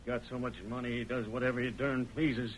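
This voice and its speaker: An actor playing a wary, indignant escaped prisoner in a radio western, plain-spoken, with an American Western accent. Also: male voice, mid-range voice, little pitch movement, fast, lightly acted. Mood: bitter, surprised. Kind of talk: 1940s radio western acting. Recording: noisy old radio recording.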